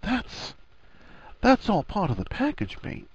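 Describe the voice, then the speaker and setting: Man acting a blunt, teasing young husband, animated. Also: high voice, slow pace, gravelly, breathy edge, no echo, muffled recording, voice pushed up, exaggerated voice. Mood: firm reassurance. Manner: blunt, cheeky, slangy.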